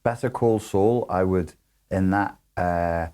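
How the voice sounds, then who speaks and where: Male host, very deep voice, casual interviewer who asks probing questions and makes dry jokes. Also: takes it slowly, warm tone, clean tone. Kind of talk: casual conversation.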